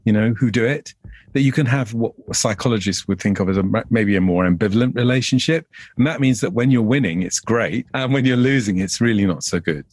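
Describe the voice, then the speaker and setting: Low, husky older male academic. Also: a little mellow. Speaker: academic, writer. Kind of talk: measured analytical interview.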